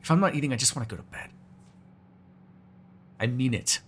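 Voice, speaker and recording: A man's voice: mid-range voice, animated, brisk, a bit bright, a little hiss or hum, lightly acted. A man voicing a hungry, cranky, flirtatious male vampire in an ASMR audio roleplay, sarcastic and given to swearing. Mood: sullen, tired. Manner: sarcastic, swearing, moody, shifting from murmurs to exasperated outbursts.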